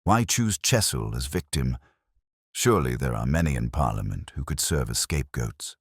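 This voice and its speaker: A man's voice: extremely low pitch, animated, moderate pace, husky, dry recording, clean studio sound, voice pushed down, heavily acted. A man voicing a detective's loyal companion in an audiobook, earnest and questioning. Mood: puzzled.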